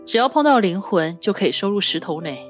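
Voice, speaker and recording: A woman's voice: mid-range voice, animated, a little fast, faint music behind, natural voice. A woman playing a secretive, commanding woman in a suspense radio drama, with a curt, businesslike delivery. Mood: businesslike, mysterious. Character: secretive, commanding woman handing out shady commissions.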